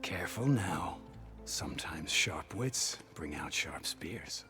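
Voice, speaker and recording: A proud commander, speaks very low with formal, cool menace. Faint music sits behind the game dialogue. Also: male voice, expressive, takes it slowly, pushed and bright, full character voice. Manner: formal, coolly threatening. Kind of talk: video game cutscene acting.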